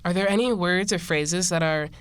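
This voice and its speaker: A woman's voice: on the low side, normal speed, clear, resonant tone. A woman co-hosting a podcast, introducing guests and asking interview questions with friendly, short affirmations. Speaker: podcast co-host.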